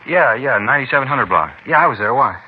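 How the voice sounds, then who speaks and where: A man's voice: mid-range voice, expressive, fast talker, husky. An American man playing a plain, hospitable carpenter questioned as a witness in a 1950s radio drama, talking in casual, folksy, clipped phrases. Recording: muffled old radio recording, faint noise.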